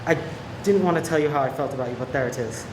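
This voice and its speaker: A man's voice: medium pitch, fast, lightly acted. Man playing an earnest detective, echoey room. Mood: tender, regretful. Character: earnest, restrained young male police detective. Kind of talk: radio play acting. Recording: echoey room with clear background hum.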